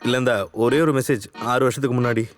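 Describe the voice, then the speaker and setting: An actor playing a nervous, defensively proud young man in love, rambling and halting, in a conversational short-film style. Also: mid-range voice, flat delivery, soft background music, close to an everyday voice. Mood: anxious, defensive. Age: young adult. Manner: halting, rambling, self-justifying.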